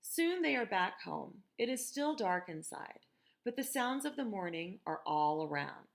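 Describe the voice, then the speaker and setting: An American woman reading a picture book aloud to children in a gentle, expressive teacher's manner, with conversational asides. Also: mid-range voice, measured pace, creaky. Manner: gentle, expressive, asks listeners questions.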